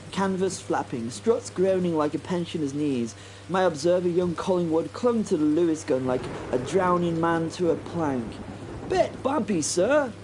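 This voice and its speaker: A man's voice: fairly high pitch, dark tone, slight background noise, poor audio. A man voicing a plucky young English First World War pilot who narrates a horror audio drama in an upper-class English accent, rambling and stammering with self-deprecating asides. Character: plucky young British WWI pilot, future wing commander.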